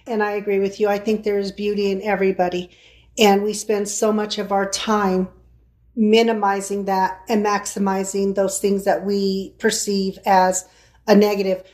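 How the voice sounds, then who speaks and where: A woman's voice: medium pitch, level tone, moderate pace, darkish tone. A woman hosting an interview, chatty and encouraging, often affirming her guest. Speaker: show host. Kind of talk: interview chat.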